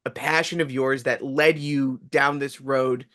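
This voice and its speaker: A man's voice: medium pitch, steady tone, measured pace, a bit bright, no room sound, studio quality. A man hosting a casual interview, asking articulate, reflective questions. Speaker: podcast host.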